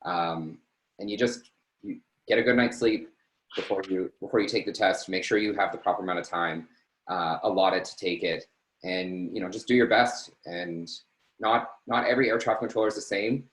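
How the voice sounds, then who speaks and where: A man's voice: low voice, normal speed, fairly bright tone. A man talking casually as a panelist on a webinar. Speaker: air traffic controller, panelist. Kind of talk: casual unscripted panel answers. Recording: roomy echo on webinar call.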